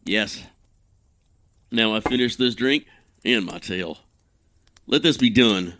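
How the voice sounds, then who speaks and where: A man's voice: fairly low pitch, takes it slowly, bright, forceful tone, no echo, studio quality. An actor playing a guilt-ridden, self-condemning man in an audio drama, speaking in a formal, brooding way. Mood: bitter resolve.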